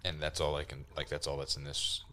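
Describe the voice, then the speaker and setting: A man's voice: rumbling low, flat delivery, a little fast, sharp tone, a little hiss or hum. A man playing a tabletop role-playing game, talking casually and tentatively as he thinks his moves through aloud.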